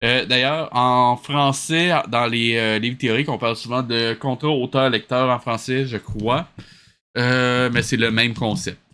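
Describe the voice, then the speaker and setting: Bright-voiced, exasperated Québécois man. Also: mid-range voice, fairly even intonation, unhurried, clean tone. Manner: incredulous, exasperated rhetorical questions. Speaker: host reviewing a cartoon. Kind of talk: comic reaction commentary.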